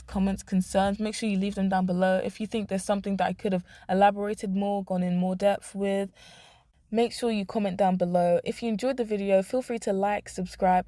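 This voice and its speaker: A young woman vlogger, hoarse, giving blunt, emphatic commentary to the camera. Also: medium pitch, dark tone, dry recording, studio quality. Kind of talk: opinionated talking-head commentary.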